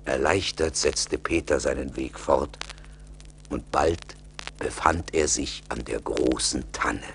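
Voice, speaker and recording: A man's voice: very deep voice, slow pace, gravelly, a bit bright, a little hiss or hum. A man narrating a fairy tale dramatically, in the manner of a 1980s radio play record. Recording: music behind the voice.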